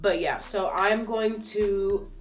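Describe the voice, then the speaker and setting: A young woman with a stuffy, congested nose, chatting casually through a hair tutorial with lots of ums and likes. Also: medium pitch. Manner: chatty, filler-heavy, relaxed. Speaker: beauty vlogger.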